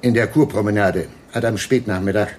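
An actor playing a folksy, apologetic small-town police sergeant in a radio drama, with a hesitant, roundabout way of talking. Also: male voice, on the low side, brisk, darkish tone, a little hiss or hum, close to an everyday voice. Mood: chatty. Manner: hesitant, roundabout, colloquial, chatty.

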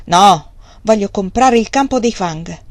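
A woman's voice: mid-range voice, big pitch swings, normal speed, slightly rough, dry recording. A woman reading the part of an eager, stubborn young male farmer with emphatic outbursts. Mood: insistent. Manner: emphatic exclamations.

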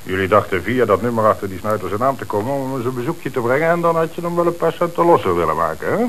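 A man acting a stern, shrewd police chief with a Netherlands Dutch accent and brisk authority, in a radio play. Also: medium pitch, lively intonation, fairly bright tone, lightly acted. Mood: shrewd, measured. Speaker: actor. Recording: hissy old radio recording.

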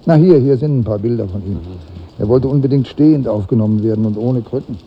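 An actor playing a cynical, gossipy small-town newspaper editor in a 1950s radio drama, chatty and wry, with a gravelly voice. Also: male voice, medium pitch, quick pace. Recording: dull old radio recording, slight noise.

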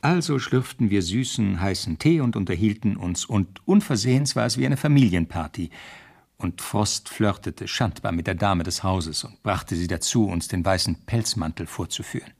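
An actor playing a police officer who narrates a radio crime drama in the first person, with measured, literary storytelling. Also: male voice, low voice, expressive, vocal fry, darkish tone, full character voice. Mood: reflective narration. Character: male police officer narrating the case as his memoir.

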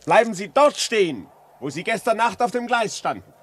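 An actor playing a sceptical, domineering journalist in a radio play, emphatic and excitable. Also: male voice, high-pitched, normal speed, breathy edge, exaggerated voice. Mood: insistent, lecturing. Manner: emphatic, insistent, taunting.

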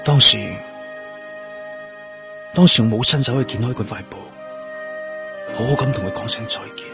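A man's voice: medium pitch, moderate pace, close to an everyday voice. A man acting a grieving, introspective police detective in a Cantonese radio drama, with a Hong Kong accent and guitar music behind him. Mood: sombre, grieving. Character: brooding, grieving male police detective haunted by loss. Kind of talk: radio drama acting with first-person narration. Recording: music under the voice.

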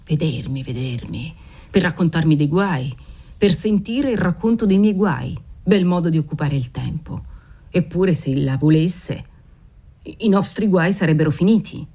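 A woman's voice: lowish voice, lively intonation, unhurried, husky, full character voice. Woman reading as a volatile old nobleman. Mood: bitter. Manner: swings from dreamy murmuring to furious shouting; fervent, obsessive. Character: aging nobleman, desperate, volatile, pious father.

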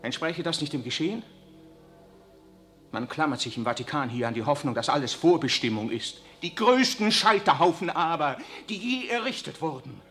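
An actor playing an idealistic young priest, speaking with impassioned, declamatory fervor in a 1960s radio drama. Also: male voice, on the high side, fairly bright tone. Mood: anguished.

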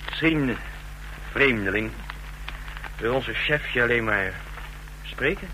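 A man's voice: mid-range voice, animated, takes it slowly, sharp tone. An actor playing a secretive henchman of an underground organisation, terse and guarded, in a radio drama. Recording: noisy, humming old radio recording.

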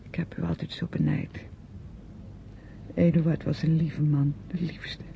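An actress playing a guarded, weary young niece in a radio play, speaking with restraint. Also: female voice, sits low, little pitch movement, unhurried, creaky, whispered, full character voice. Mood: weary confession. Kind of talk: radio drama acting. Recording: dull, noisy old radio play recording.

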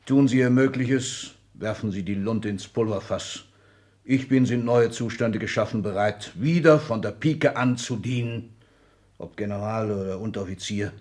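A low actor's voice of an imperious older aristocrat with a military bent, played by a man. He is commanding and condescending, firing off abrupt sentences in 1960s German radio drama style. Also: moderate pace, a little mellow, natural voice. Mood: fiery, commanding. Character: imperious older aristocratic Excellency, restless military-minded patriarch.

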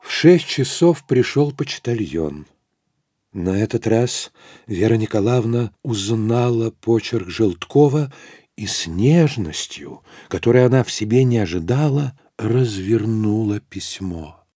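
An actor as the composed narrator of a literary audio drama, reading scripted prose in a serious storytelling manner. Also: male voice, fairly low pitch, expressive, measured pace, dark tone, breathy edge, no room sound, clean studio sound.

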